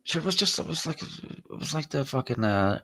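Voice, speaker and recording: A man's voice: on the high side, expressive, a little fast, fairly bright tone, slightly rough. An Irish man hosting a podcast in casual chat, crude and mocking, often breaking into laughter. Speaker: podcast host.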